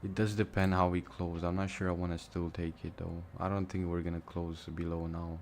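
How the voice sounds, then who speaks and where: A man's voice: low-pitched, flat delivery, fairly quick. A young man with a Romanian accent chatting casually through a live trading stream, thinking aloud and swearing freely. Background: music and mouse clicks.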